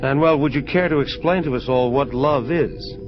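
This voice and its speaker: An actor playing a probing, insistent angel investigator in an audio drama, terse and questioning in delivery. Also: male voice, medium pitch, moderate pace, close to an everyday voice. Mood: pressing. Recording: muffled lo-fi audio drama with music. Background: prominent music.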